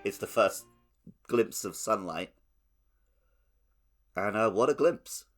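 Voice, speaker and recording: A man's voice: lowish voice, animated, takes it slowly, soft background music. A man chatting informally and animatedly as a podcast host. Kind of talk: casual podcast chat.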